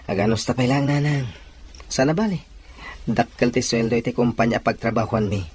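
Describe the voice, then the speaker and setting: A man's voice: mid-range voice, brisk, darkish tone, natural voice. Earnest young Ilocano seafarer played by a man, noisy ambience. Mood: reassuring. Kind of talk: dramatised audio drama acting. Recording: noisy ambience with crowd murmur.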